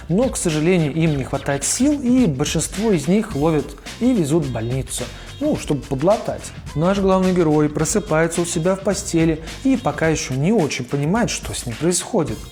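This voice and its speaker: A man's voice: on the high side, lively intonation, normal speed, few pauses, darkish tone, soft background music. A man narrating an informal scripted video essay as its host, in a colloquial, opinionated manner. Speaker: host of a cartoon retrospective video.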